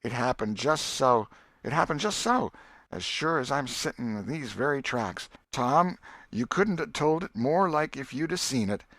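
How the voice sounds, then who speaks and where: A man's voice: medium pitch, lively intonation, moderate pace, a bit bright, no echo, pitched above the performer's own voice. A man voicing a pious, scolding yet affectionate old country aunt with a rural Southern American accent in an audiobook. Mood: excited, insistent. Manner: exclamatory, folksy, emphatic. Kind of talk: audiobook character voice.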